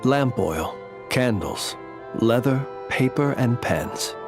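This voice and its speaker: A gentle, modest gentleman from a video game. His mid-pitched voice is polite and measured, with wry understatement. Music is clearly heard behind him. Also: expressive. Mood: thoughtful. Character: courteous, gentle male lantern bearer, modest and wryly humorous. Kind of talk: video game character dialogue, storytelling.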